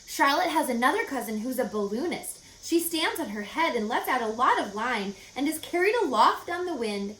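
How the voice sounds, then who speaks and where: A woman's voice: high voice, very animated, moderate pace, hardly any pauses, a bit bright, voice pushed up. A woman voicing an imaginative, earnest little farm girl who tells her storybook tales eagerly and insistently. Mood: enthusiastic. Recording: echoey room, faint background noise.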